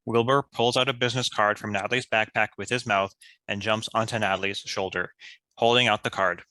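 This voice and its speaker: A male narrator reading out script action. His voice is fairly low and forceful in tone. It is recorded dry, with no room sound. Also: fairly even intonation, a little fast, dry recording.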